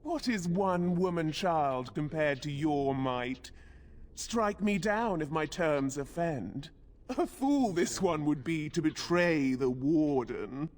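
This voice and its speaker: A woman's voice: low voice, animated, slow, a little mellow. An actress voicing a menacing demon in a woman's body for a video game, haughty and archaic in manner. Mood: cold, bargaining. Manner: archaic, haughty, speaks of itself in third person. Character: menacing demon possessing a dead female warden commander.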